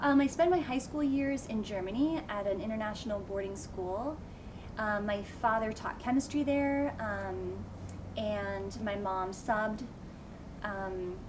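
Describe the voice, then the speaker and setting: A woman teacher answering interview questions about her life in a relaxed, thoughtful way, with frequent pauses. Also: medium pitch. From United States. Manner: thoughtful, with frequent ums and light laughs. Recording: noisy background ambience.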